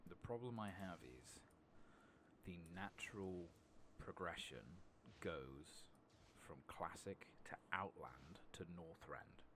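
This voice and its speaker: A British man giving hushed, soft-spoken ASMR game commentary, often slipping into a whisper. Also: sits low, lively intonation, slow, a little hiss or hum. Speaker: game streamer.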